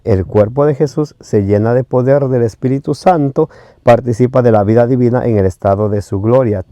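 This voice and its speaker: Ecuadorian man preaching, very warm dark voice, lowish pitch, dry close sound, halting fillers. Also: dry recording. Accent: Ecuadorian Spanish. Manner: reads scripture references slowly, often hesitates with fillers.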